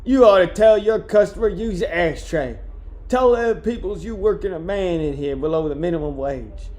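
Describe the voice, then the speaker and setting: Guy voicing a mouthy witty bar sweeper, pitched up, folksy drawl, grumbling back, storybook read. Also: moderate pace, a little mellow, natural voice. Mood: sardonic. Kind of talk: amateur novel reading.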